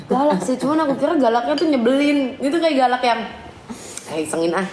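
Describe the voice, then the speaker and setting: A woman's voice: high-pitched, animated, brisk, darkish tone. A young woman telling stories and giggling in casual chat while she eats. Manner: chatty, giggly storyteller. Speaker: live stream co-host. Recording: echoey room with faint noise. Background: clinking cutlery and dishes.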